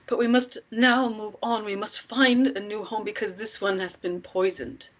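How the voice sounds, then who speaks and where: A woman playing a solemn, commanding female guide, deliberate and insistent, in a dramatic table read. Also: mid-range voice, level tone, lightly acted. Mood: solemn resolve. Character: Brida, solemn, commanding woman guiding a distraught companion. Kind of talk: screenplay table-read acting.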